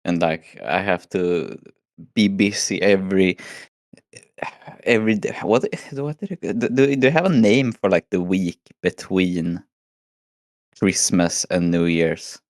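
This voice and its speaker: Hoarse, very deep-voiced man. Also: steady tone, unhurried, dry recording, clean studio sound. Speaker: guest. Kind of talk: casual chat.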